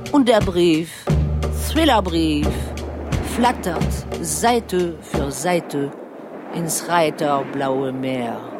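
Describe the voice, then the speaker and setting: A woman narrating an audio drama in a scripted, poetic style. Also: fairly low pitch.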